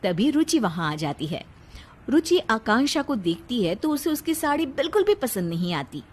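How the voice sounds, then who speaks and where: A woman's voice: a little high, big pitch swings, normal speed, breathy edge. A woman narrating a scripted cartoon family story in the role of storyteller.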